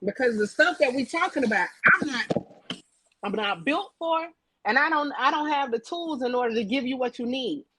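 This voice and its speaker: A woman's voice: sits high, expressive, moderate pace, a bit bright, slight background noise, low-quality recording. A woman chatting casually and candidly about her own relationship, repeating phrases for emphasis. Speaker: talk show panelist. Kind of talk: casual group chat, personal storytelling.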